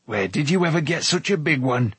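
An irascible little dwarf stuck in the body of a frog, played by a male audiobook reader. He snaps and blusters with scorn in a storybook style. The voice is husky and recorded very dry. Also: fairly high pitch, expressive, fairly quick, a bit bright, dry recording, exaggerated voice. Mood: urgent, grumbling. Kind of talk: audiobook character voice.

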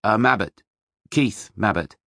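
A man's voice: on the low side, lively intonation, a little slow, fairly bright tone, no echo, studio quality, heavily acted. A man voicing an elderly, polite and hesitant male investigator in an audiobook. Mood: awkward, polite.

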